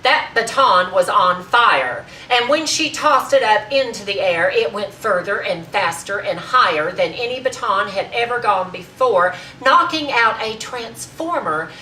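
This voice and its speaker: Proud, indignant Southern lady female actor, grandiloquent stage tirade, measured delivery, distant mic in an echoey room. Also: low-pitched, fairly bright tone, a little hiss or hum, full character voice. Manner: grandiloquent, emphatic, building declamation. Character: proud, indignant Southern lady fiercely defending her sister. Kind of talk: theatrical comic tirade monologue.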